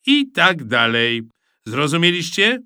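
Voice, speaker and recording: A man's voice: fairly high pitch, very animated, slow pace, bright, forceful tone, smooth, dry recording, studio quality, voice pushed up, heavily acted. A man voicing a stern, exasperated school monitor who barks orders in an audiobook of a children's story. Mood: instructing. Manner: barking, exclamatory orders. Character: stern, exasperated male school monitor, red-faced and shouting.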